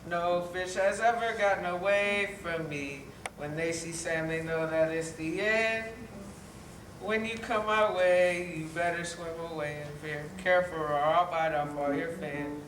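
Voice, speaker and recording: Echoey distant stage recording, man as mean bragging shark villain, theatrical, raised pitch, children's musical. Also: a little slow, a bit bright. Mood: menacing, boastful. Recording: echoey, noisy hall recorded from afar.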